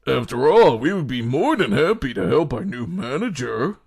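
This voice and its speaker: A man playing a genial, teasing middle-aged ex-teacher in an amateur audio drama, with a measured, formal way of talking. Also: medium pitch, very animated, a little mellow, breathy edge.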